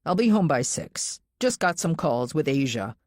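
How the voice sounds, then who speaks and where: A busy husband acted by a woman narrator speaks in an audiobook, brisk and dismissive. His impatient dialogue is recorded dry. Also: low-pitched, big pitch swings, darkish tone, no echo.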